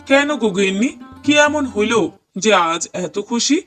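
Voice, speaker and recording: A man's voice: sits high, lively intonation, slow pace, a bit bright, exaggerated voice. A man playing an affectionate young husband in a cartoon, speaking earnestly and tenderly with emphatic repetition. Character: affectionate young husband, delighted father-to-be, gentle and doting. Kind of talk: cartoon dialogue acting. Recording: voice over prominent music bed. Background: music.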